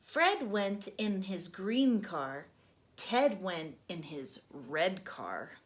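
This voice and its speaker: A young adult woman, host of a storytime channel, reading a children's book aloud slowly and gently. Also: medium pitch, big pitch swings. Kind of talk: children's read-aloud narration.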